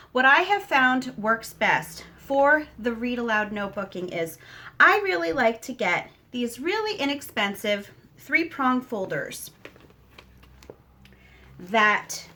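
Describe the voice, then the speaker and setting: A woman's voice: a little high, pushed and bright. A woman talking casually to viewers in an explanatory way, conversational and emphatic, often correcting herself as she goes. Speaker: homeschooling mother, video host.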